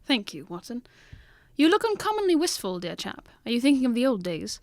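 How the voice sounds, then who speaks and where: Woman as old retired detective, commanding, formal phrasing, rapid speech, dry recording, audio drama. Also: fairly high pitch, big pitch swings, darkish tone, no echo. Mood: reflective.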